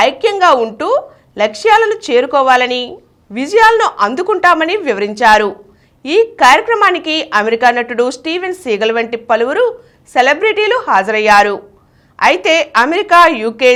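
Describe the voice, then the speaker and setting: A woman's voice: high-pitched, fairly bright tone. A woman reading scripted news stories as a news reader.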